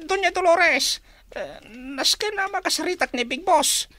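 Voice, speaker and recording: A woman's voice: extremely high pitch, animated, measured pace, bright, forceful tone, no room sound, full character voice. A Filipino woman playing a timid, whining henchman who hesitates and pleads in a radio drama. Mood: nervous.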